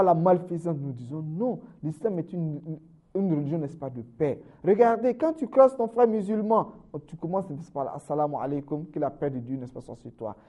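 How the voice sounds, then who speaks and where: A man delivers a sermon with a high-pitched but warm, mellow voice. He lifts his phrases loud and high to press each point, then lets them drop low and soft. He keeps inserting "n'est-ce pas" as he goes. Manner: says "n'est-ce pas" constantly, swings from raised emphatic phrases to low trailing ends. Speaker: Islamic preacher.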